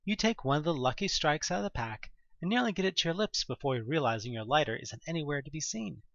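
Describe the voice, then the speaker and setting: A man reading a scripted second-person story in a theatrical, expressive narrator's manner. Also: fairly high pitch, quick pace, creaky, no echo, highs cut off.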